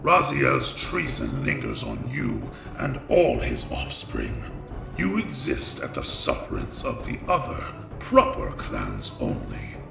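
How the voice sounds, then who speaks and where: An actor playing a giant ancient vampire lord, imperious and contemptuous, in a growling audio drama performance. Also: male voice, wildly swooping pitch, music in the background, exaggerated voice. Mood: enraged. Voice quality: very gravelly, deep growl. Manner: slow, haughty, commanding. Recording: roomy echo.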